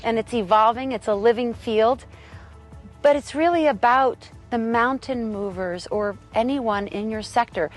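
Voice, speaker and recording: A woman organizer addressing viewers, urging them and thanking them warmly. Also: fairly high pitch, a little slow, faint music behind.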